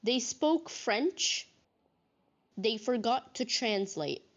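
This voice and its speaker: A woman's voice: on the high side, lively intonation, extremely slow. A woman game streamer chatting casually in a rambling, sweary storytelling manner. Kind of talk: casual chat. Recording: dull lo-fi mic.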